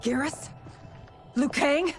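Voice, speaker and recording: A woman's voice: sits high, takes it slowly, soft background music. An actress playing a dignified, earnest warrior queen with a touch of sorrow in a video game. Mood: bewildered.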